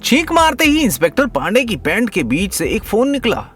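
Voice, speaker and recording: A man's voice: high voice, wildly swooping pitch, a little fast, fairly bright tone, faint music behind. A man narrating a comedy story as a storyteller, in a dramatic scripted style.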